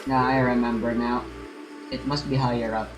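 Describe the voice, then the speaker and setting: A man's voice: medium pitch, flat delivery, normal speed. A man muttering offhand asides to himself while playing a game. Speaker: gamer. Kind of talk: gameplay commentary. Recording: roomy sound over game music.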